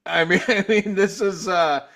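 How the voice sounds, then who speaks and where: A man's voice: high-pitched, steady tone, a little slow, a loud crowd. A man in his fifties hosting a casual rock podcast, blunt and laid-back, swearing freely. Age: 50s. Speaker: podcast host. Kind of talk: casual chat.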